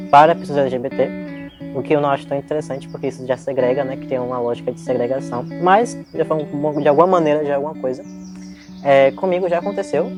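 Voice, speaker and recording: A man in his twenties with a Bahian Brazilian accent, giving a reflective, articulate interview answer in a spontaneous way, often adding little tag words. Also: mid-range voice. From Salvador, Bahia, Brazil. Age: 20s. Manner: reflective, articulate, frequent "né" tags. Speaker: interviewee, psychologist and DJ.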